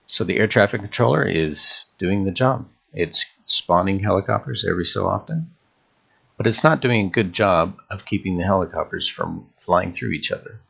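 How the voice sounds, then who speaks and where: Male tutorial host, very low register with heavy fry, measured instructional screencast delivery. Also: a bit bright, muffled recording. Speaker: game development tutorial host.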